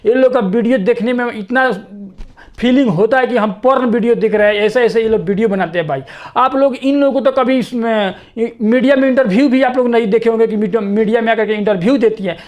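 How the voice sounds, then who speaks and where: A man's voice: very high-pitched, fast talker, darkish tone, dull audio. A man giving informal, opinionated news commentary to viewers in a repetitive, insistent way.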